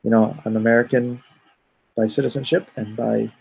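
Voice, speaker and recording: A middle-aged man streaming live from home, reading chat aloud and answering it in an easygoing, even-tempered way. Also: mid-range voice, normal speed, vocal fry, breathy edge, low-quality recording. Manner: calls himself soothing and even-tempered; reads chat comments aloud and answers them.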